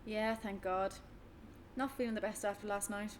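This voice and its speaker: A woman's voice: mid-range voice, steady tone, moderate pace, natural voice. A woman with an Irish accent playing a friendly, slightly forward young barmaid in a conversational drama read. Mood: relaxed. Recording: room recording with faint background noise.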